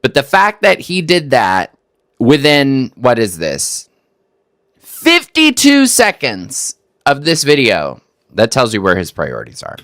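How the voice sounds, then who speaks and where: Wry Texan man, lively skeptical commentary. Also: mid-range voice, no echo, clean studio sound. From Texas. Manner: wry, skeptical, halting restarts. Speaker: reaction video host. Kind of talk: casual commentary on a clip.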